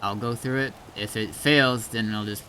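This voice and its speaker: Lowish-voiced male gamer, noisy audio. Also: steady tone, moderate pace, bright, forceful tone. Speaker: online roleplay game player. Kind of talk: casual gaming voice chat. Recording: noisy game voice chat audio.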